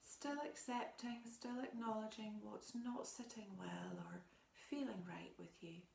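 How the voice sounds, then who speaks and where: A woman guides a meditation very slowly, leaving long, restful pauses between short phrases. Her voice is soft and soothing, often slipping into a breathy near-whisper at a medium pitch. The recording has a noticeable room echo and a faint layer of background noise.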